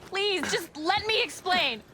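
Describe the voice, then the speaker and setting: A woman's voice: very high voice, expressive, a little slow, noisy background. An actress playing a frightened young woman rebel in a video game cutscene, anxious and pleading.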